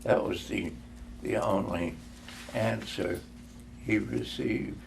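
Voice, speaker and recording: Slow, gravelly older man reading a story. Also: fairly low pitch, a little hiss or hum. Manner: measured, halting, with stumbles and repeats. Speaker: reader.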